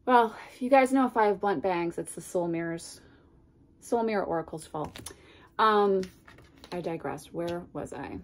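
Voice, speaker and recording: A woman's voice: on the low side, moderate pace. A woman in her thirties with a stuffy, congested voice from a cold, chatting casually to camera as she unboxes a card deck at home, gushing and wandering into asides. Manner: gushing and chatty, drifting into asides and soft whispers. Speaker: tarot and oracle deck reviewer. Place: home room lined with bookshelves, indoors. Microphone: camera in front at desk distance.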